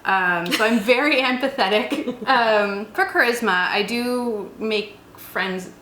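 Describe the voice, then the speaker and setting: A woman with a bright, forward voice chats casually as a co-host. Her pitch is average, and she sounds recorded in a roomy, echoey space with faint noise. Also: slow pace. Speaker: co-host of a tabletop roleplaying show. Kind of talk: casual chat.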